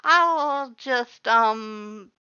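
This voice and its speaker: A woman voicing a tall, loud, worried male skeleton who exclaims fretfully. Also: fairly high pitch, drawn-out words, clean tone, no echo, highs cut off, voice pushed up, heavily acted. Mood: flustered.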